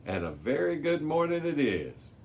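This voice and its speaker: A man voicing a commanding male race announcer in a children's storybook, showy and deliberate as he rallies young contestants. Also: a little high, big pitch swings, gravelly, voice pushed up. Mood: rallying, commanding. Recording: noticeable background noise.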